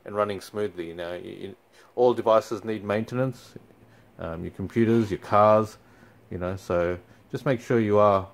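A young man talking through a product how-to, easygoing and a little halting, full of ums and you-knows. His voice sits on the lower side. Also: creaky. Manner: relaxed, many fillers. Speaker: company product presenter. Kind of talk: casual how-to walkthrough. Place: indoors in front of a TV screen.

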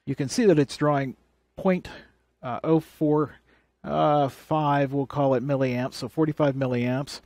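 A man's voice: mid-range voice, fairly even intonation, unhurried, a little mellow, dry recording. A man presenting a hands-on electronics how-to, explaining things plainly and step by step. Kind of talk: hands-on tutorial demonstration.

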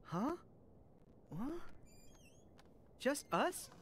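A man's voice: very high voice, very animated, drawn-out words, fairly bright tone, breathy edge, exaggerated voice. An actor playing a cheerful, impulsive teenage boy hero in a video game, breezy and boyish. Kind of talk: video game cutscene acting.

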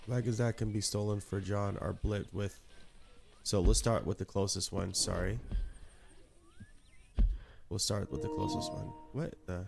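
A man's voice: on the low side, creaky, a little mellow. A man with a stuffy nose from a cold, giving laid-back, slangy commentary while playing a video game. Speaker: gameplay streamer. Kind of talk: casual let's-play commentary.

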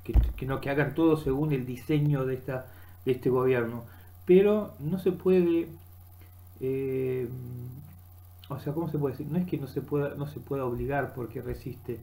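Gravelly middle-aged Argentine man. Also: mid-range voice, warm tone. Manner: halting, rambling, searching for words. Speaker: vlogger. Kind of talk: unscripted political monologue. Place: small room indoors. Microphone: webcam at desk distance.

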